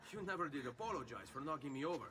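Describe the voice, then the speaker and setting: A man's voice: on the high side, expressive, racing, a bit bright. A man playing a confident, friendly young Italian rogue with an Italian accent in a video game. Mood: wry. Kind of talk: video game cutscene acting. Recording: clearly noisy game ambience.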